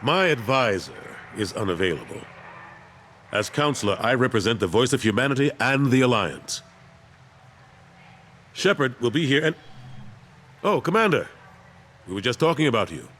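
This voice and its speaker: A man playing an earnest, loyal military veteran turned statesman in a video game, husky, measured and firm. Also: medium pitch, very animated, a bit bright, a little hiss or hum. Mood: formal, welcoming.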